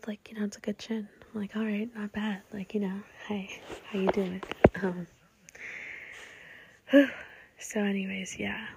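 A woman's voice: mid-range voice, noisy background. A young woman hosting her own podcast, talking in a whispery voice in a blunt, profane, rambling way full of fillers. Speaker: podcast host. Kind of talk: casual solo podcast talk.

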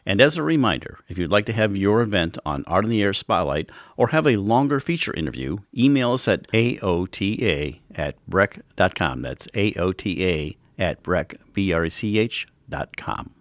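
A very deep-voiced man co-hosts an arts radio program. He reads sponsor credits and reminders in a brisk announcer style, with a dry, close sound. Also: very animated, no room sound. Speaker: radio show co-host.

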